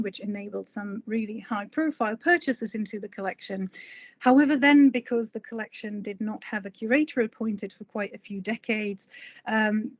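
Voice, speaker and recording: A woman's voice: a little high, no room sound. A woman from the Republic, a curator giving a prepared but informal talk in an enthusiastic, effusive manner. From Czech Republic.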